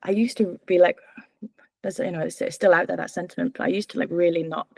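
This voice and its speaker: A woman's voice: on the low side, fairly even intonation, fast talker, creaky, a little mellow, dry recording. A woman in her twenties from Wales, giving candid, hesitant interview answers full of fillers and restarts. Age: 20s. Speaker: political candidate.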